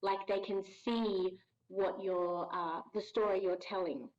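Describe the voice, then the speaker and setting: A woman's voice: mid-range voice, steady tone, unhurried. A woman from Ghana, a voice actor guest on a podcast, talking in a friendly, thoughtful way and laughing easily. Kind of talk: casual podcast interview. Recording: dull lo-fi audio.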